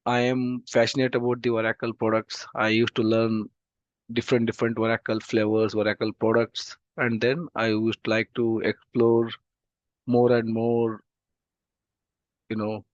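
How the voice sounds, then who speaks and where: A man with an Indian English accent teaching an online database class, talking slowly and haltingly with lots of fillers because of a throat infection, over a video call. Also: medium pitch, level tone, dry recording. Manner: slow, halting, many "uh" fillers. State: throat infection, voice not clear. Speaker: database course instructor. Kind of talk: online demo class lecture. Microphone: computer mic on a video call. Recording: dull, dry video-call audio.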